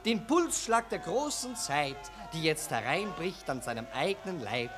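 A man's voice: fairly high pitch, big pitch swings, unhurried, a bit bright, soft background music, some chatter behind. A man playing a pompous, self-important, cynical Viennese newspaper reporter in a 1940s German radio play, declaiming emphatically. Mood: grandiloquent.

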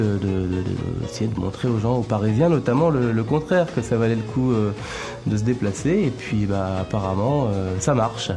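A man from France, talking as a guest in a casual chat, hesitant and full of fillers. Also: fairly low pitch. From northern France. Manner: hesitant, many fillers and restarts. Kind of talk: casual conversation.

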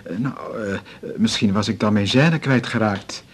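An actor playing an embarrassed, insecure young student at the doctor's, hesitant and apologetic, in a naturalistic radio drama. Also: male voice, lowish voice, moderate pace, breathy edge. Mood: embarrassed, anxious. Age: young adult.

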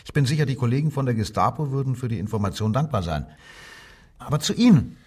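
An actor playing a coldly mocking Nazi police commissioner who interrogates in a controlled, commanding radio crime drama style. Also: male voice, medium pitch, lively intonation, quick pace, darkish tone, slightly rough, distant mic. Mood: probing, mocking. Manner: sardonic, probing, controlled, commanding.

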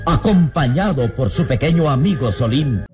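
A man narrating a radio serial from a script in a solemn, suspenseful, dramatic style with deliberate phrasing. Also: mid-range voice, animated. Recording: old radio drama with prominent music behind.

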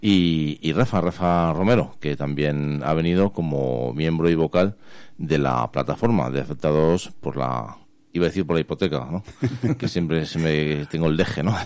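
A man's voice: a bass voice, normal speed, darkish tone, clear, resonant tone, no room sound. A man from Spain hosting a radio interview in a conversational, informal and rambling way, often checking for agreement. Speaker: radio host. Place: radio studio.

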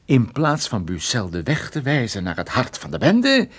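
A man playing a wry, stubborn private detective in a Dutch radio play, explaining things in a conversational, halting way. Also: mid-range voice, wildly swooping pitch, slightly rough. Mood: urgent, resolute. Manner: conversational, hesitant interjections, explanatory. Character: wry, stubborn male private detective, injured but determined.

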